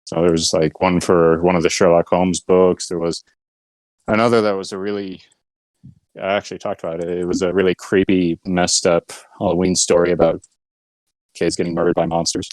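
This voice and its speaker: Low-pitched man explaining casually. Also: little pitch movement, normal speed, a little mellow, dry recording, rough recording. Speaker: podcast co-host. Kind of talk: casual podcast chat.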